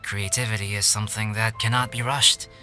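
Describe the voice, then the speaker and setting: A man playing a calm, reserved young intellectual in a video game, speaking in a measured, articulate and formal way. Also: lowish voice, lightly acted. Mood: composed, reflective. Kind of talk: video game cutscene acting. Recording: background music under the voice. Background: game music.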